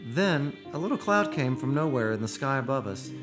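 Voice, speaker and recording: A man's voice: mid-range voice, very animated, moderate pace, close to an everyday voice. An actor playing an earnest, lovestruck man who narrates a haunted romance in poetic radio-play style. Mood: wistful, rapt. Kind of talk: radio play acting and dramatic first-person narration. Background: music.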